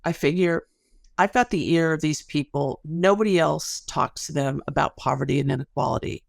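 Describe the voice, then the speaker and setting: Creaky-voiced woman, low-key academic explainer. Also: moderate pace, dry recording, clean studio sound. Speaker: academic researcher, interview guest. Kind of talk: explanatory podcast interview.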